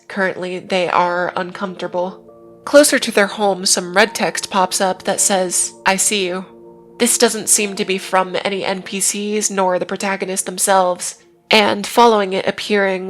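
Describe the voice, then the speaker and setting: Woman narrating in a flat, even tone. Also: medium pitch. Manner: wry asides between plain retelling. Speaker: video essay host. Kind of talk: scripted video essay narration.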